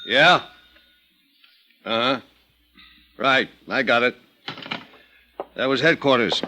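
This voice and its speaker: An actor playing a brisk, businesslike police sergeant in a vintage radio drama. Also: male voice, medium pitch, pushed and bright, a little hiss or hum. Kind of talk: 1950s radio drama acting.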